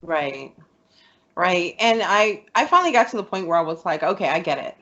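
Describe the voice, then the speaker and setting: A woman hosting a podcast, chatting through personal stories and often breaking into laughter. Her voice is somewhat low. The recording sounds dull and lo-fi. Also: very animated, normal speed, fairly bright tone. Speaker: podcast host. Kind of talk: casual conversation.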